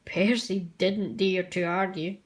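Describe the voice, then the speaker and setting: A woman's voice: fairly low pitch, steady tone, slow, a little hiss or hum. A woman narrating a children's storybook aloud. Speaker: storybook reader.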